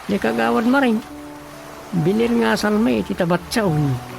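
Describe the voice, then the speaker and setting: A grumbling village man with an Ilocano accent, in radio comedy style. He drags his words slowly in a gravelly mutter, with clear music behind him. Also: high voice, animated, heavily acted. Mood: complaining.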